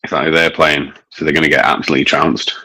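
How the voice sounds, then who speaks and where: A man's voice: rumbling low, flat delivery, quick pace, bright, forceful tone, slightly rough. A man with a mild northern English accent, chatting casually while reviewing beer, with many fillers and hesitations. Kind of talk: casual chat.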